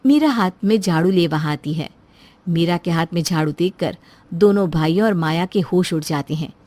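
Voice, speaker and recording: A woman's voice: lowish voice, normal speed, a little mellow, no echo. A woman storyteller reading a scripted moral story as narration.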